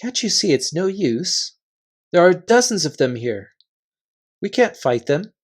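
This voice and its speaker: A gentle, earnest young girl, acted by a man reading a storybook. The voice is lifted slightly and soft-spoken, with a brave, resolute manner. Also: high voice, sing-song, unhurried, exaggerated voice.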